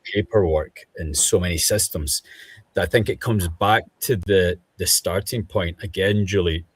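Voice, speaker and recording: A man with a Scottish accent, speaking as a guest on an online panel discussion in a measured, thoughtful way with frequent pauses. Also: deep voice, slightly rough, no room sound. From Scotland.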